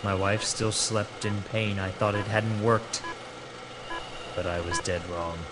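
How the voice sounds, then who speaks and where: A man with a low voice narrates a horror story in the first person. His delivery is sombre and grave, and loud ambient noise sits behind the voice. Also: steady tone, slow pace.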